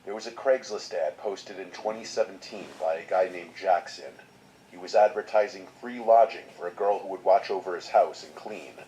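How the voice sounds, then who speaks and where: Male narrator, deep voice, steady scripted read of a horror story. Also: moderate pace, a little hiss or hum, low-quality recording. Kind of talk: scripted first-person narration.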